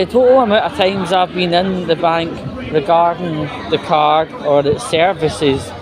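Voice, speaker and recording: A man with a Scottish accent gives an exasperated, sweary, halting off-the-cuff rant while out walking. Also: fairly high pitch. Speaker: vlogger. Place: outdoors, walking. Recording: noisy outdoor street with traffic and crowd.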